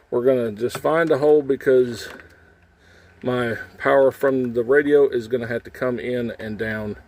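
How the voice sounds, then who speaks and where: A man with a medium-pitched voice talks through a hands-on job. His phrasing is folksy and informal, in the casual style of a homemade tutorial, explaining each step while he works. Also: a little mellow. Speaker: how-to video host, trucker. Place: in and around a truck cab.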